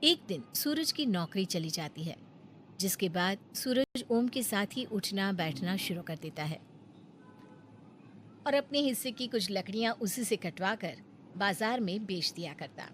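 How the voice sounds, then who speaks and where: A female storyteller delivers scripted cartoon narration. Her voice sits at a medium pitch, with clearly audible noise behind it. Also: lively intonation, unhurried, a bit bright.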